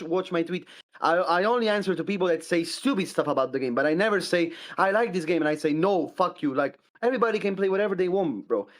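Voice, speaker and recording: A young man with an Italian accent defends himself in a heated online debate, speaking English as a second language. His voice sits a bit high, and he stumbles through fillers and restarts as he pushes his point. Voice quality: halting, many restarts and fillers. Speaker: content creator debating.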